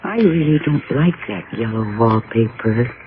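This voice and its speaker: A woman's voice: a bass voice, animated, normal speed, background noise. An actress playing a sweet, wistful young woman in a 1980s radio drama, dreamy and polite. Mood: dreamy, nostalgic. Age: young adult. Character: sweet, wistful young woman revisiting her childhood home. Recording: narrow-band vintage radio broadcast.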